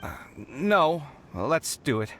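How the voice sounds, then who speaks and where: Dramatic young guy, lighter higher voice, swoops around, game character. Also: takes it slowly, slight background noise, full character voice. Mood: earnest, rueful. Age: young adult. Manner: theatrical, expressive. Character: dramatic, proud young man, exasperated but honourable. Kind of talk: video game voice acting.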